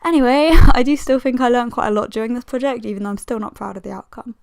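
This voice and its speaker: A woman's voice: fairly high pitch, steady tone, fast talker, creaky, clean tone, no room sound. A woman in her twenties with a slightly strained voice, giving a chatty, self-deprecating, rambling commentary as a casual video host. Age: 20s. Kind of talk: casual sketchbook tour commentary.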